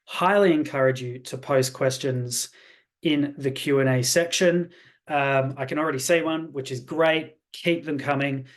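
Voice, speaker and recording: Guy running a webinar on a video call, pretty monotone, polished sales talk with lots of ums. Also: medium pitch, measured pace. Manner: polished, salesy, many fillers. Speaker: webinar host, programme representative. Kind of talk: presenting a webinar.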